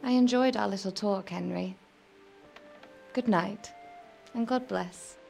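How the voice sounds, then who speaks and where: Young medieval lady in a game cutscene, soft, sad and formal, bit of fry in the voice, music under it. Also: medium pitch. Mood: wistful, tender. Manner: soft-spoken, formal, measured storytelling. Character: gentle, melancholy young medieval noblewoman, castle lord's wife. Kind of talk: dramatic video game cutscene acting.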